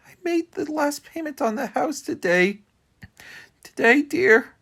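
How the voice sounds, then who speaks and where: A man's voice: very high voice, big pitch swings, measured pace, creaky, bright, forceful tone, pitched above the performer's own voice, exaggerated voice. A man voicing a grieving middle-aged widow who speaks in broken, sobbing phrases. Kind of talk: reading a play aloud.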